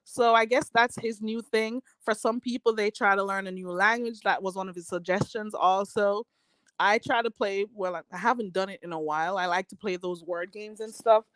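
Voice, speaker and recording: A woman hosts a relaxed livestream, reading viewers' comments aloud and wandering from thought to thought. Her talk is full of "you know" and easy laughter. Her voice sits at a middle pitch and sounds dry and close, with no room around it. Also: moderate pace, no room sound. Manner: rambling, filler-heavy, laughs often. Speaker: livestream host reading chat. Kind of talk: casual chat.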